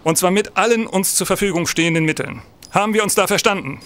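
An actor playing a stern, troubled police senator in a radio play, speaking gravely and emphatically. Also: male voice, high-pitched, quick pace, a bit bright. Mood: angry, commanding. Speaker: actor in an audio drama. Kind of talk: German radio play acting.

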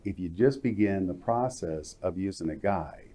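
A man in his fifties hosting a casual studio interview, talking informally with halting phrasing. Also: low-pitched, expressive, dark tone, slight background noise. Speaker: interviewer, co-presenter. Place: TV-style studio at a glass desk. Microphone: lapel mic.

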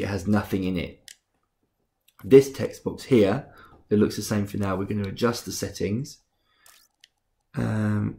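A young man with a cold, sounding husky and rough and a bit bunged up, calmly talking through a game-making tutorial step by step with frequent ums. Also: lowish voice. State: congested from a cold. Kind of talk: screen-recorded software tutorial.

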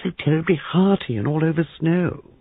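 A man voicing an excitable, gushing, camp young man in an audiobook, shrill and breathy. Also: normal speed, dry recording. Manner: gushing, theatrical. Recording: muffled phone-like audio.

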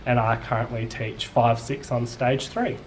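Young aussie guy teacher, relaxed, lots of ums, bit of hum behind. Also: medium pitch, unhurried, rough recording. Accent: Australian. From New South Wales, Australia. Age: young adult. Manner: relaxed, with frequent ums and uhs. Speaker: primary school teacher. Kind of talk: casual to-camera interview. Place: classroom with space posters.

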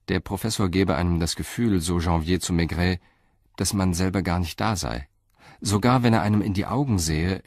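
A man narrating a crime story in a measured, sober and deliberate way. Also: low-pitched, dry recording, clean studio sound.

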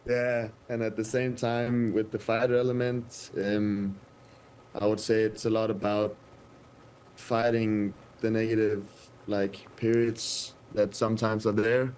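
A young man in his twenties with a Danish accent, answering interview questions over a video call from a press room, hesitant and soft-spoken with many pauses. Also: lowish voice, flat delivery, slight background noise. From Denmark. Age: 20s. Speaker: footballer guest. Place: indoor press room with sponsor backdrop. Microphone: webcam video call.

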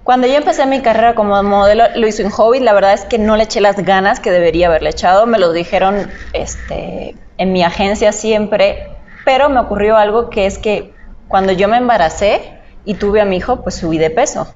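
A woman's voice: mid-range voice, a little fast, a little mellow, highs cut off, a little hiss or hum. Reflective young woman from Cuba. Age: young adult. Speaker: podcast guest, model. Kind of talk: casual podcast interview. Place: indoor podcast set at a table.

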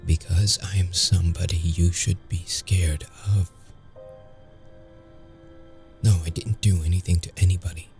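A deep-voiced, brooding male vampire, acted by a man for an ASMR roleplay, wounded yet seductive. He speaks in a dark, mellow tone with heavy gravel, keeping it intimate and guarded. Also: flat delivery, faint music behind. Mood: urgent, guarded. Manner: slow, halting, intimate delivery. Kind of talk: ASMR audio roleplay acting.

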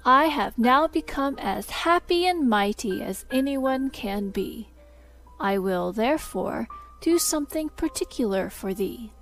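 A woman's voice: fairly high pitch, animated, a little slow, a bit bright, faint music behind, rough recording. A woman voicing a scheming shadow who has become a man, giving grand, commanding orders in old-fashioned speech. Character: scheming shadow turned man, smooth and lordly.

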